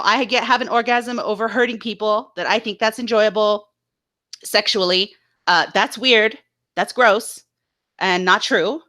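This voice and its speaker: A woman's voice: a little high, sharp tone. A woman co-hosting a casual talk stream, ranting with scorn and repeating herself. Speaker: co-host. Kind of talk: heated casual talk show rant.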